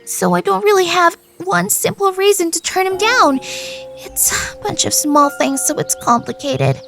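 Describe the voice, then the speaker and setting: A woman voicing an earnest, kindhearted high school senior girl in an anime fan radio play, talking in a casual, girlish way. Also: unhurried, a bit bright, faint music behind, full character voice. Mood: torn.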